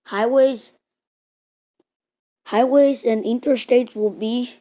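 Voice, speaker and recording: A woman reading out an improvised mock emergency alert in a halting way, repeating and restarting her words. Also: a little high, steady tone, dark tone. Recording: muffled, roomy home recording.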